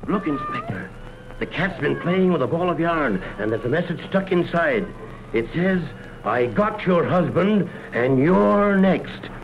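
On a muffled, noisy thirties radio recording, a dutiful police sergeant. His high voice is earnest and deliberate, with lively melodramatic swings. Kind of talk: 1930s radio melodrama acting.

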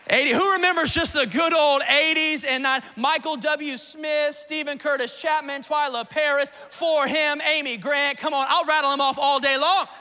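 A man in his late twenties, a pastor preaching a sermon from the pulpit in a church, energetic and playful with call-and-response to the congregation. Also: very high-pitched, normal speed, few pauses, in a large hall. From South Carolina. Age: 20s. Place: church sanctuary.

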